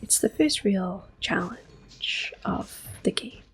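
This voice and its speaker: A woman giving soft-spoken ASMR gameplay commentary as host, often slipping into a breathy whisper, in a gentle, unhurried way. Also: mid-range voice, lively intonation. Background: soft video game music.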